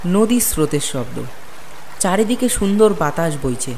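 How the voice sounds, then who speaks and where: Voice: mid-range voice, very animated, normal speed, darkish tone, a little hiss or hum. A narrator reading a scripted ghost story with dramatic storytelling delivery.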